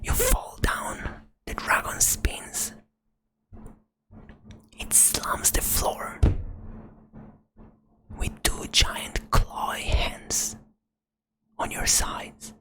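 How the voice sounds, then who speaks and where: A woman whispering a tabletop roleplay adventure as game master, in slow, soft second-person storytelling. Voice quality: breathy whisper.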